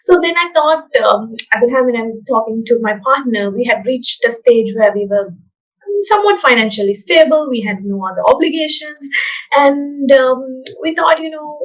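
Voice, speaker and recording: A woman from Sri Lanka, a podcast guest telling her story in a thoughtful, soft-spoken way with fillers. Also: high voice, dull audio. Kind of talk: casual interview chat.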